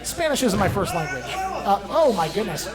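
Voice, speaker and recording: A man calling a wrestling match play by play, excitable and quick with quips. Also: high-pitched. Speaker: wrestling commentator. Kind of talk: play-by-play commentary. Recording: loud crowd behind.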